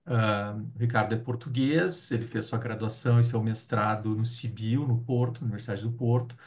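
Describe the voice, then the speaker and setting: A man's voice: lowish voice, fairly even intonation, normal speed, distant mic. A man introducing a guest speaker at a seminar in an informal way, often ending his sentences with tag questions. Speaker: seminar host. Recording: voice from away from the mic.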